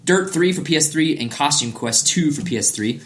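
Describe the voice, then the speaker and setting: A man's voice: on the high side, lively intonation, moderate pace, a bit bright. Male gaming podcast host, enthusiastic. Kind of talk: casual gaming podcast chat.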